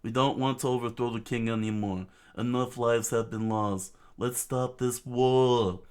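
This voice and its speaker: A man's voice: medium pitch, steady tone, unhurried, a little mellow, clean tone, pitched above the performer's own voice, natural voice. A man voicing an earnest male rebel leader, pleading for peace.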